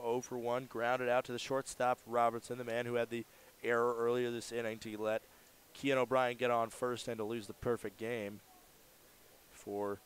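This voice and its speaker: Male baseball radio announcer, mid-pitched, smooth and crisp, live play-by-play. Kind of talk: live sports commentary.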